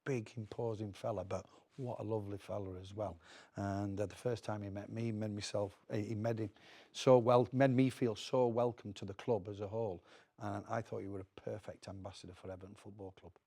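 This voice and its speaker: A man's voice: fairly low pitch, steady tone, a little fast, dark tone. A middle-aged man with a Northern English accent, a former footballer reminiscing casually as a guest and often repeating words as he goes.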